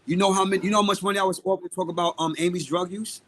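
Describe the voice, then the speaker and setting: A man's voice: high voice, steady tone, rapid-fire, fairly bright tone, a little hiss or hum. A man with a Brooklyn accent speaking as a guest in a podcast interview, outspoken and emphatic, repeating phrases for stress. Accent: Brooklyn, New York. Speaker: guest, singer and music producer. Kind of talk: live podcast interview talk.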